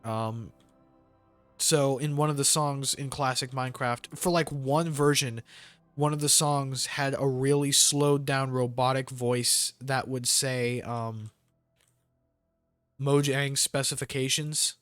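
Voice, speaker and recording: A man's voice: medium pitch, level tone, slow pace, a bit bright, clean tone. Man rambling casually over gameplay, faint music. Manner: rambling, jokey, slangy. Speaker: gamer hosting a let's play.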